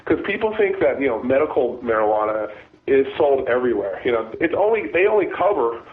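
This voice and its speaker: A man, a former deputy sheriff, talking as a guest with a raspy voice from a cold, halting and restarting his sentences. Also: mid-range voice, sing-song. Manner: halting, many restarts and repeated words. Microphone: phone. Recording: phone line.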